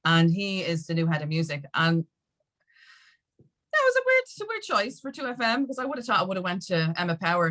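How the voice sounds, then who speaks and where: Emphatic Irish woman, lowish voice. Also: a bit bright. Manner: emphatic, rambling storytelling with acted-out quotes. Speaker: guest, music industry campaigner. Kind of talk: candid conversational interview.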